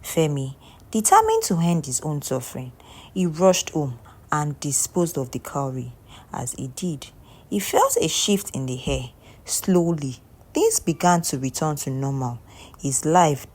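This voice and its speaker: A woman with a low voice tells a folktale. Her pitch swings widely as she shapes each line, and she keeps a steady, solemn manner with dramatic pauses. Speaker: storyteller. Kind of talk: scripted folktale narration.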